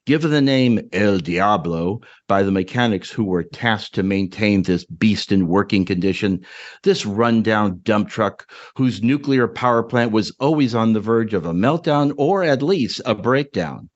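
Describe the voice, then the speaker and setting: A man's voice: lowish voice, animated, unhurried. Man reading a story, slightly dull audio. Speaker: podcast co-host reading own story. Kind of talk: dramatic reading of a short story.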